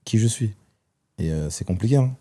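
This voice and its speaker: Guest, man, 30s: low, warm, dark voice; hesitant, thinking aloud. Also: expressive, normal speed, breathy edge. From Belgium. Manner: reflective, hesitant with many fillers. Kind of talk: personal testimony in conversation.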